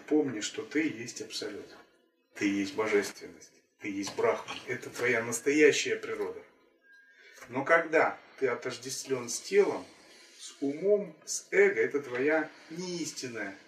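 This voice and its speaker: A man giving a calm, measured spiritual talk as a teacher, repeating key phrases. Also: medium pitch. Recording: roomy, distant from the mic.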